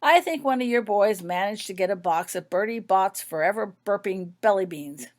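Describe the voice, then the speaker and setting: A woman voicing a calm, kindly magical healer with a measured, instructive manner in a storybook reading. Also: mid-range voice, pitched above the performer's own voice, natural voice. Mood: calm, encouraging.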